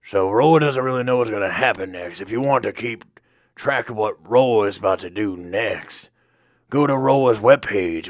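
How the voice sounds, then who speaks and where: A man playing a dim, oddball space hero who rambles in deadpan third person for a comic audio drama. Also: fairly low pitch, dry recording, clean studio sound, close to an everyday voice. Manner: rambling, deadpan, refers to himself by name.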